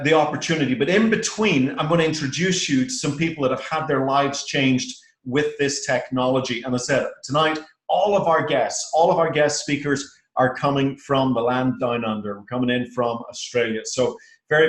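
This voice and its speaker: A man's voice: medium pitch, moderate pace, roomy sound. An upbeat man with an Irish accent, giving a rehearsed, persuasive sales presentation on a call and often saying "uh" and "okay?". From Ireland.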